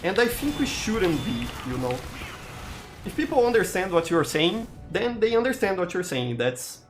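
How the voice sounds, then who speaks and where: Male streamer, Brazilian accent, animated delivery, halting word-searching, background music on the stream. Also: high voice. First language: Portuguese. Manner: halting, often repeats words while searching for them. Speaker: game streamer. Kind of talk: casual gameplay commentary, answering chat.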